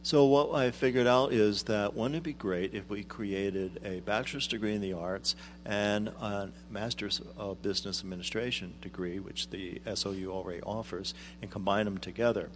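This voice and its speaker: Deep-voiced man explaining calmly. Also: a little hiss or hum. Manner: measured, explanatory, many ums. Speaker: guest, arts executive director. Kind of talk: radio interview.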